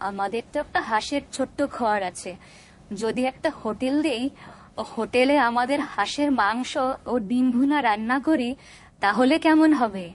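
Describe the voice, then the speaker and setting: A woman's voice: a little high, normal speed, close to an everyday voice. A woman voicing a caring, earnest young village woman in a Bengali cartoon.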